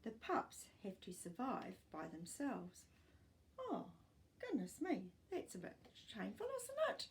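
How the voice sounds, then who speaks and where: Storytime host: middle-aged woman, animated pitch, slightly gravelly, explaining as she reads to children. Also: measured pace, slight background noise. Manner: expressive, explanatory, as if to young children. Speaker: storytime host reading aloud. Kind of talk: children's read-aloud with asides. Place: living room at home.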